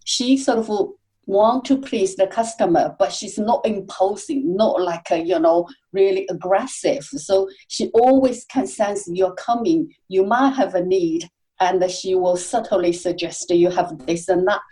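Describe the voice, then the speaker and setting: A woman speaking as a podcast guest, answering at length in a halting way with many fillers and restarts. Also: medium pitch, mellow, poor audio. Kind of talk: conversational interview answers.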